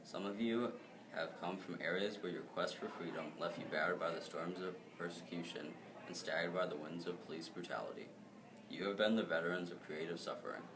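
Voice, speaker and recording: A man's voice: sits low, a little fast. A teenage boy, a student, reading a speech aloud. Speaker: student reader. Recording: clear background noise.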